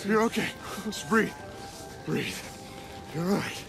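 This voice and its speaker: High-voiced, protective young sheriff. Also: male voice, lively intonation, slow pace, creaky, exaggerated voice. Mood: reassuring. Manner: urgent, firm, insistent. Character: protective, authoritative sheriff and big brother. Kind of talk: video game cutscene acting. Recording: loud game music and effects behind.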